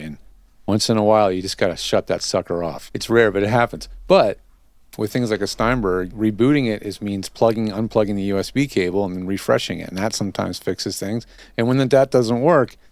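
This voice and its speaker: A man's voice: lowish voice, no room sound. A man hosting a podcast in casual interview chat, explaining things in a chatty way. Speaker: podcast host and audio tech interviewer.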